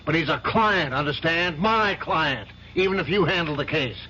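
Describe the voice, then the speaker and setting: A stern, commanding older man running his law firm in an old radio mystery. His voice is bright and forceful, a bit high for a man, as he lectures. The broadcast is dull and lo-fi with faint hiss. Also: lively intonation, moderate pace. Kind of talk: 1970s radio drama acting.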